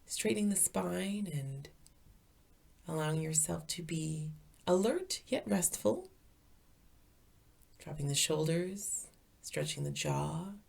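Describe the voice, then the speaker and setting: A woman leading a guided meditation as a teacher, calm, soothing and deliberate, with a soft, breathy voice. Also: low-pitched.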